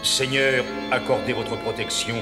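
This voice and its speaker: A man voicing a solemn priest leading prayer in a cartoon, measured in delivery. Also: medium pitch, steady tone, music in the background, lightly acted. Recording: long church reverb.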